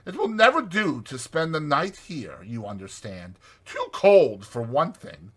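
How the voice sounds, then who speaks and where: A man acts out a hearty male water rat from a children's storybook. He is capable and kind, explaining things in an emphatic, jaunty way. The voice is bright, a little husky and very animated. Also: a little high, a little slow, pitched above the performer's own voice, exaggerated voice. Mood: brisk, kindly. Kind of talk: dramatized children's storybook reading.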